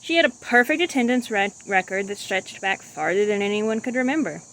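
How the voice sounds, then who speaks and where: A woman's voice: mid-range voice, animated, a little fast, a bit bright. A woman reading a children's book aloud as a storyteller. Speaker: storybook reader.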